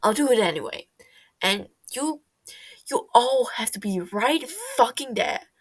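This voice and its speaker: A woman's voice: a little high, expressive, measured pace, slightly rough, low-quality recording. A woman voicing a brash, foul-mouthed sixteen-year-old boy in an audio story, blustering and tripping over words. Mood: defiant, furious. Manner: swearing, blustering, stumbling over words in agitation.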